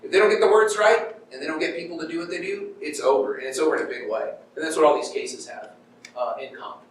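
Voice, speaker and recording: A man's voice: on the high side, fast. A middle-aged man giving an informal university lecture in a conversational way, acting out paraphrased quotes and checking in with his listeners. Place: lecture room at a university, in front of an audience. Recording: very echoey room, distant mic, background noise.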